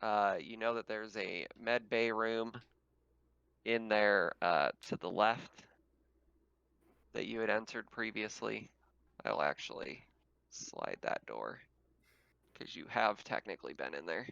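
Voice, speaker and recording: A man's voice: lowish voice, takes it slowly, creaky, long pauses, pushed and bright, clean tone, no room sound, lo-fi sound. A man acting as game master in casual, informal talk, explaining things and amused, over an online voice call. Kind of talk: casual tabletop game narration and chat.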